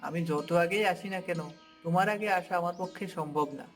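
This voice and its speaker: A man's voice: high voice, a little fast, soft background music. A man voicing a friendly, chatty village farmer neighbour in a cartoon fairy tale.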